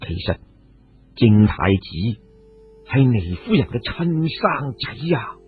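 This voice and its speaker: 男講古佬演壓低聲線嘅沉重英雄. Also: male voice, medium pitch, very animated, slow pace, slight background noise, pitched below the performer's own voice. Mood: confiding. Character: troubled, resolute male hero confiding in brothers. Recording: muffled phone-like radio recording.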